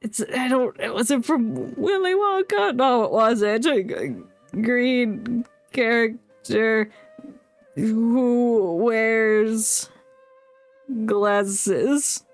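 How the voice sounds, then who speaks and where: Slow-paced, joking woman streamer. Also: a little high, faint music behind. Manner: joking, giggly, sweary. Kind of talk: casual playthrough commentary.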